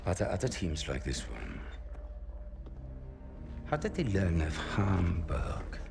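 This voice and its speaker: An actor playing a menacing senior commander who speaks slowly and gravelly. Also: male voice, deep voice, big pitch swings, full character voice. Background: music.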